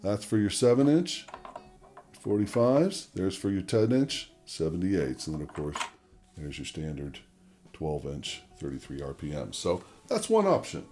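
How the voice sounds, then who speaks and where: A man's voice: deep voice, big pitch swings, unhurried, mellow, faint music behind. A man presenting a tutorial in a conversational, methodical way, explaining equipment step by step and addressing viewers directly. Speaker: presenter of a record-cleaning tutorial channel. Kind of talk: conversational product demonstration and explanation.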